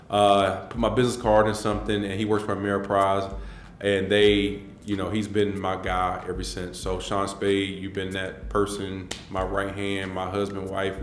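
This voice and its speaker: Low-voiced man in his forties, echoey room. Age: 40s. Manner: earnest and rambling, many restarts and fillers. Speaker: interviewee. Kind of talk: filmed personal interview, reflective storytelling.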